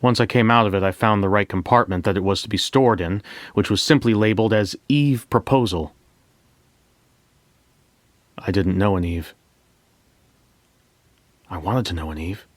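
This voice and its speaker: A man's voice: lowish voice, normal speed, long pauses, no echo, natural voice. An actor playing a lovelorn, wounded man who confesses his loss in a dramatic first-person audio story monologue. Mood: wistful, puzzled.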